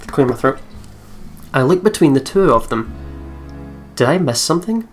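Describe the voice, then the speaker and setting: A woman's voice: sits low, sing-song, a little slow, darkish tone. A woman hosting a let's play, reading visual novel narration aloud and breaking off into casual, exclaiming reactions. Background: game music.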